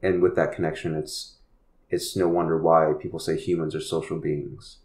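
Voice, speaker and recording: A man's voice: rumbling low, little pitch movement, moderate pace, darkish tone. A man hosting a podcast, speaking earnestly and rambling, often repeating his words. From United States. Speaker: podcast host. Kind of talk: casual conversation. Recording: echoey room.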